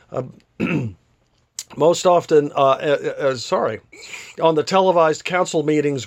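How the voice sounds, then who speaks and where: A man reading a literary story aloud as an author would, with a theatrical delivery. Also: high voice. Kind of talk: literary read-aloud, scripted narration.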